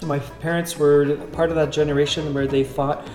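A Canadian man whose first language is French, from Southwestern Ontario, calmly recounting his upbringing as an interview guest. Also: a little high. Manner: measured, thoughtful, with occasional hesitations and restarts. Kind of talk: reflective conversational storytelling. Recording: echoey room with faint guitar music. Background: faint guitar music.

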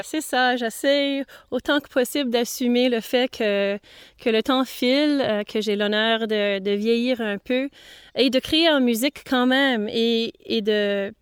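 A woman's voice: fairly high pitch, smooth, no echo, clean studio sound. A middle-aged woman from Manitoba with a Franco-Manitoban French accent, a singer-songwriter introducing herself casually and hesitantly between songs. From Manitoba, Canada. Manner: unhurried and hesitant, many pauses and "euh"s.

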